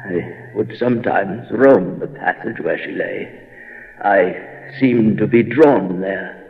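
An actor playing a lonely, tormented castle dweller in a horror radio monologue, speaking in a halting, haunted, theatrical manner with dramatic pauses. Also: male voice, on the low side, vocal fry. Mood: haunted, brooding. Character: lonely, tormented male castle dweller raised among bones and skeletons. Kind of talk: dramatic first-person horror monologue, old-time radio acting. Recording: dull old radio recording, long reverb.

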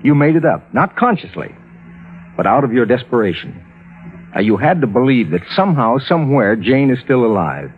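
An actor playing a rational, skeptical lawyer who narrates and argues in a measured, earnest way, in the style of mid-century radio drama. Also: male voice, mid-range voice, sing-song, close to an everyday voice. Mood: skeptical, pressing. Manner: measured, earnest storytelling, pressing in argument. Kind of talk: 1940s-50s radio drama acting and narration. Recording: tinny old radio recording, music behind.

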